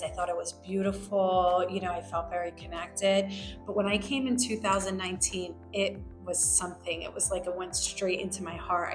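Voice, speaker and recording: A woman's voice: on the low side, fairly bright tone. A woman who grew up in New Jersey, a lawyer, telling her personal story in a reflective, conversational way with many fillers. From United States, grew up in New Jersey. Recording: echoey room with faint music.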